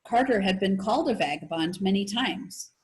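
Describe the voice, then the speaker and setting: A woman reading a children's novel aloud like a teacher, in an expressive, animated storytelling manner. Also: mid-range voice, moderate pace. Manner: animated storytelling with voices for characters.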